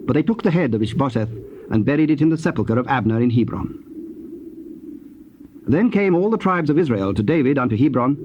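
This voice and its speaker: A man with a British accent narrating scripture in a formal, measured way. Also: medium pitch, animated. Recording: dull, faintly noisy old cassette.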